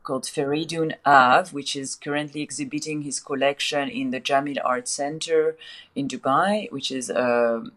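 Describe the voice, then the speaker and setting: A woman's voice: very deep voice, fairly even intonation, moderate pace, fairly bright tone. A woman, speaking as a guest on a remote interview about her art advisory work and her life. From France. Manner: fluent, with many repeated starts. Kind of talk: casual interview chat. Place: remote call from another city.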